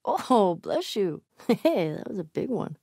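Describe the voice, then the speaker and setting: Tough, expressive young woman survivor, sweary. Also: mid-range voice, measured pace, gravelly, breathy edge, dry recording, clean studio sound, full character voice. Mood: playful. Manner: blunt, sweary, wry. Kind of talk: naturalistic video game voice acting.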